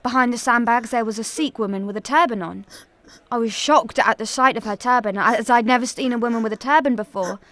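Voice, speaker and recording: A woman narrator giving a scripted first-person dramatic account in a solemn, measured storytelling manner. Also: fairly high pitch, a bit bright.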